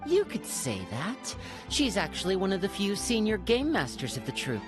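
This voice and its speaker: A woman's voice: mid-range voice, huge pitch swings, moderate pace, over music. A woman voicing a friendly young female adventurer in a game. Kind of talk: game cutscene voice acting.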